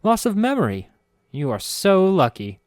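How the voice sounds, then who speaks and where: A man's voice: fairly high pitch, very animated, a little fast, darkish tone, dry recording, clean studio sound, voice pushed up. A man voicing a perky, sweet-natured young woman who is eager to please and hates being mean. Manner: bubbly, breathless and eager to please.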